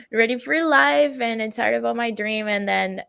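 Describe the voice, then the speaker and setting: A woman from Venezuela speaking as an interview guest. Her voice has a gravelly, fry-tinged edge, and the recording is close and dry. She tells her story openly and laughs easily. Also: a little high, fairly even intonation, a little slow, bright, forceful tone, no echo.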